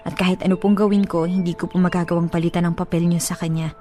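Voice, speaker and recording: Actress as meek young girlfriend, Filipino woman, soft dark timbre, hesitant, earnest, radio drama, faint music behind. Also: lowish voice, level tone, quick pace, natural voice. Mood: earnest, defiant. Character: meek but devoted young live-in girlfriend.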